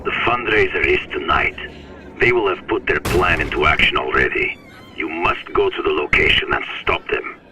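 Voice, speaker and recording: An actor playing a calm, menacing older hunter who mentors the hero in a video game, speaking in a measured, commanding way with a deep gravelly voice. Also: male voice, noisy background. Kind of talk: video game cutscene dialogue.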